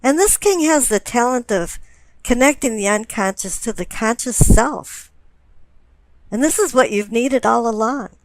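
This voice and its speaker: A woman with a fairly high voice reads tarot for her listener. She explains things deliberately, setting out one phrase after another. The recording is dry and close, with little room sound. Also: dry recording. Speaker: tarot reader. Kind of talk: spoken tarot card reading.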